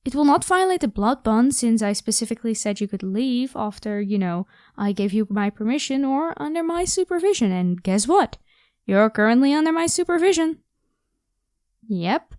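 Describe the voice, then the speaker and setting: A woman voicing a carefree, protective vampire warrior of high rank in a casual, reassuring roleplay style. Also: lively intonation, moderate pace, a little mellow, dry recording, clean studio sound, close to an everyday voice. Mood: reassuring, playful. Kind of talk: ASMR roleplay acting. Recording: clear background hiss with night crickets. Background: crickets and insect ambience.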